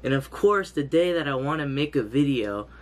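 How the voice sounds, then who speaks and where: A man's voice: medium pitch, animated, normal speed. Hyped young man with a stuffy nose. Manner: hyped, slangy, loud exclamations. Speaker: gaming youtuber. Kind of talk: excited gameplay commentary. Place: indoor room, green screen. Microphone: headset, webcam framing.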